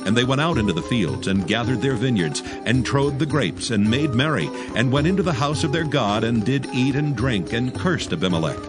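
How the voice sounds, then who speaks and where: A man's voice: medium pitch, lively intonation, fairly quick, few pauses. A man narrating a dramatized reading of scripture, with music behind him.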